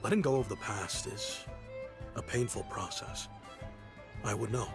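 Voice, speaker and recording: An actor playing a proud yet kindly merchant in a video game, speaking in a friendly, reflective, softly rueful way. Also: male voice, on the low side, measured pace, natural voice. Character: nostalgic grown-up male merchant, proud but kindly. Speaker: businessman. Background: game music.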